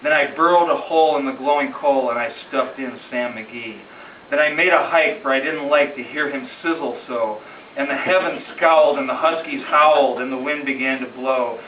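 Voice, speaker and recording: A man giving a dramatic, rhythmic recitation of a narrative ballad as an amateur reader, telling it like a story with emphatic phrasing. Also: medium pitch. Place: room in a home, at a gathering. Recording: roomy distant muffled home recording, faint noise.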